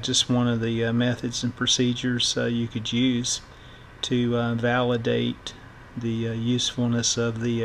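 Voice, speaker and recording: A middle-aged man giving a casual electronics tutorial in a halting, thinking-aloud way, as the host of a repair video. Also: medium pitch, a bit bright. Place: indoor workbench. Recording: clear background noise.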